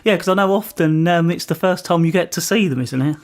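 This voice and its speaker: A man hosting an informal community radio interview, asking questions in a hesitant, halting way. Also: on the high side.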